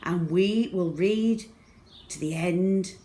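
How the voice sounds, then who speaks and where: Middle-aged woman, low-pitched, slow storytelling, children's book reading, some background noise. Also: dark tone. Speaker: storyteller for schoolchildren. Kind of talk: reading a children's novel aloud. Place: small plain room indoors.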